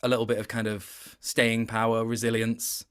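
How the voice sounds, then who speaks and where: A man's voice: lowish voice, completely flat delivery, moderate pace, smooth. Reflective man explaining his acting work. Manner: reflective, explanatory, stop-start phrasing. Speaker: guest voice actor. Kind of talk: interview chat about performance work.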